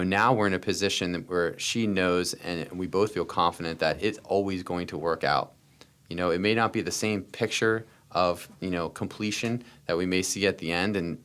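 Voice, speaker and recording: Man, American accent, very low pitch, smooth resonant tone, earnest podcast guest, emphatic repetition. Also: a bit bright. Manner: earnest, repeats phrases for emphasis, frequent "you know". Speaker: interview guest, startup founder. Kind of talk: personal storytelling in a podcast interview.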